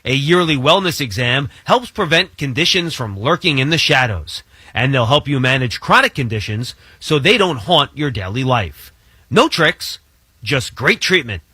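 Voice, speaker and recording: A man's voice: medium pitch, expressive, normal speed, sharp tone, breathy edge, no room sound. An American man reading a scripted radio advertisement as a voice-over.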